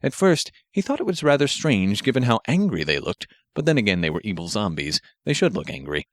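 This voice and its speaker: A man's voice: on the low side, sing-song, brisk, dry recording. Male audiobook narrator, dry close sound. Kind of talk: scripted narration of an action story.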